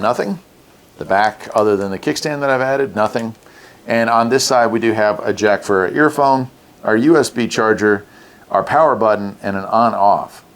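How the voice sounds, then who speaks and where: A middle-aged man giving a casual, plain-spoken product demonstration as host, in an unhurried manner. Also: on the low side. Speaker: host reviewing radio gear. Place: small room at a desk, indoors.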